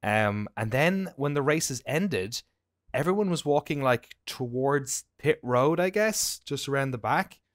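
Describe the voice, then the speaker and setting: An Irish man in his thirties, a podcast co-host telling anecdotes casually in an animated, informal way. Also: medium pitch, a little slow, no room sound, clean studio sound. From Ireland. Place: indoor room at home on a video call.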